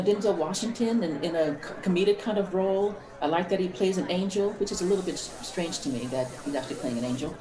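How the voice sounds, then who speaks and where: A woman chatting casually in a group as a podcast panelist, in a halting, conversational way. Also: lowish voice. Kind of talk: casual group chat about holiday movies. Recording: echoey room, background voices.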